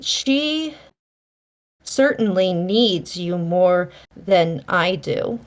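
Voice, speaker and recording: A woman reading the part of a stern, reserved middle-aged spinster from a period novel, in a halting manner. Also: on the low side, lively intonation. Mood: stern reproof. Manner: halting, severe wording.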